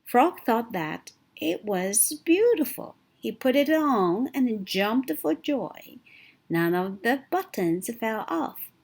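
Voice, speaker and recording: A woman reads a children's picture book aloud at an unhurried pace, and her pitch rises and falls widely for young listeners. Every line comes out clear and deliberate. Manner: slow, clear and expressive storytelling. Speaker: children's story reader.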